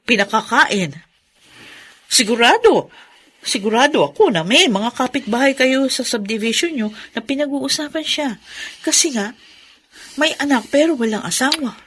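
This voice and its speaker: A bitter older sister in a Filipino radio drama. Her voice is high and cuttingly bright, and she speaks with scorn and accusation. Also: animated, moderate pace, pauses often, husky, full character voice. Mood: resentful. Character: resentful, sharp-tongued older sister scorning her younger sister.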